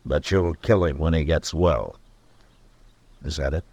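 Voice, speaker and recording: A weary lawman of the American frontier in a radio western. His voice sits very low. He speaks with an American accent, terse and dry, with steady authority. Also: measured pace, no room sound, heavily acted. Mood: dry, grave. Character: stern, weary, authoritative male frontier US marshal. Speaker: actor playing the lead lawman and narrator. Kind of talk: old-time radio western acting and narration.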